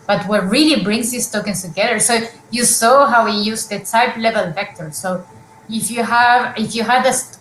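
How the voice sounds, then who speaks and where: A woman's voice: mid-range voice, a bit bright, roomy sound, a little hiss or hum, poor audio. A woman presenting research at an academic conference, explaining examples step by step with frequent fillers and restarts over a video call.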